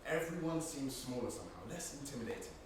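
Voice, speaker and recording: A man's voice: medium pitch, expressive, quick pace, lightly acted. An actor playing an intense, restless young man, wry and poetic, in a theatrical monologue. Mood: dreamy. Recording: echoey room, far mic, noisy.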